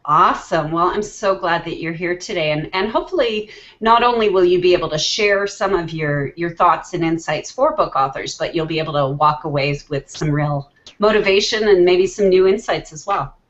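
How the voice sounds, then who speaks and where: A woman's voice: on the low side, moderate pace, a bit bright, highs cut off. A woman hosting an online show over a video call, chatty and friendly, often restarting her sentences. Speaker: host. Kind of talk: casual video call chat.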